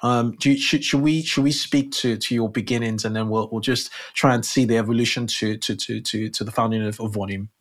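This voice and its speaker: A man's voice: medium pitch, fairly even intonation, a little fast, hardly any pauses. A man hosting a casual podcast interview, talking in a rambling way with many fillers and restarts. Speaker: podcast host.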